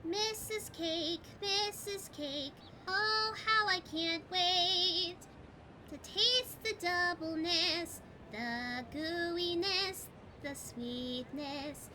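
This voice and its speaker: A woman voicing a bubbly, excitable young female cartoon pony in a fan fiction audio reading, exuberant and sing-song. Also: very high-pitched, very slow, faint music behind, full character voice. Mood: giddy singing.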